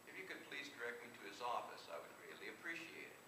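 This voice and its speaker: A man's voice: mid-range voice, animated, quick pace, voice pushed up, close to an everyday voice. A man reading the part of a tall hooded grim reaper, formal, insistent and dryly polite, in a comic story. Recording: echoey distant live room recording, noisy.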